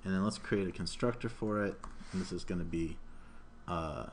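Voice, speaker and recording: Guy in his 30s doing a live coding walkthrough, lowish voice, bit of room noise and typing. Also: moderate pace. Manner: informal step-by-step narration of own actions. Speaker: programming instructor. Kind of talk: live coding tutorial, thinking aloud. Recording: noisy room mic with keyboard typing. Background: keyboard typing, room noise.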